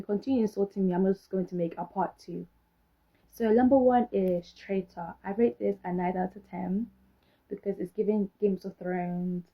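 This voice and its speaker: Sassy young British woman, husky voice. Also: medium pitch, muffled recording. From Britain. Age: young adult. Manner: sassy, sarcastic, rhetorical questions. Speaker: vlogger. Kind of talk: casual talk to camera.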